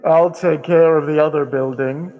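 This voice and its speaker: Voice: mid-range voice, fairly even intonation, moderate pace, narrow, tinny audio, natural voice. A performer voicing a cold, commanding villain in a condescending manner, with a reverberant echo.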